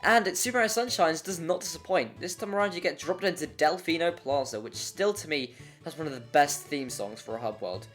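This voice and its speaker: A man's voice: on the high side, expressive, a little fast, few pauses, fairly bright tone. Male video essay narrator, wry and joking, prone to exaggeration, with music underneath. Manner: wry, jokey, given to exaggeration. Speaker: narrator of a video game review. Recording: voice-over with music behind.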